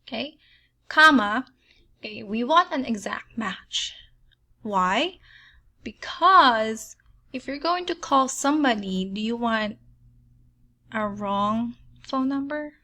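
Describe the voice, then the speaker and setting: Bright-voiced Filipino woman teaching spreadsheets. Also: a little high, lively intonation, slow pace, long pauses. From Philippines. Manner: step-by-step, frequent "okay" and "um", talks to the viewer. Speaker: spreadsheet tutorial host. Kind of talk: screen-recorded software tutorial.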